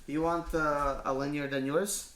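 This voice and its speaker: A man casually unboxing a gadget on his vlog, often exclaiming with excitement. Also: fairly high pitch, unhurried. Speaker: mobile mechanic YouTuber. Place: indoors at home. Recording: echoey room with faint noise.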